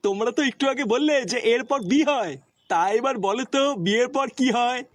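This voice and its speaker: A man's voice: very high voice, a little fast, no echo. A man voicing a flustered male schoolteacher in a comedy cartoon, with noise in the recording. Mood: instructing. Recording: noisy background.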